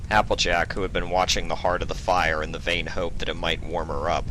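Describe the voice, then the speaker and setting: A man's voice: deep voice, little pitch movement, brisk, pushed and bright, low-quality recording. A man reading a story aloud as narrator, in a scripted storytelling style. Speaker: narrator, fan fiction reader. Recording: faint background noise, cricket ambience.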